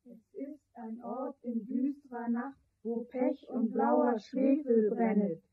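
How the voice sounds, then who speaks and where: Radio drama: grave verse reciter, measured, higher-pitched, narrow tinny recording. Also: female voice. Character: solemn woman reciting a baroque hellfire hymn. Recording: thin phone-like lo-fi sound.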